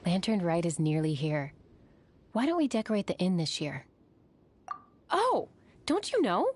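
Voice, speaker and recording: A woman's voice: fairly low pitch, big pitch swings, unhurried. A woman voicing a polite, friendly innkeeper in a video game, explaining things courteously. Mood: informative. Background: music.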